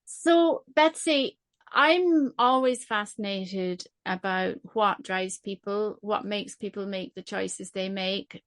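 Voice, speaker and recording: A woman hosting a podcast, reading a measured introduction and then asking questions in an earnest way. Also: medium pitch, fairly bright tone. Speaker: podcast host. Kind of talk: scripted intro, then interview.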